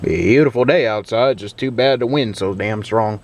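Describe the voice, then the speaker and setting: An American man casually talking through a demonstration in an unhurried, rambling way, recorded on a handheld camera inside a pickup truck. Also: fairly low pitch. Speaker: vlogger demonstrating an old CB radio. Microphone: handheld camera, speaker off screen. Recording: very noisy, radio static and vehicle ambience.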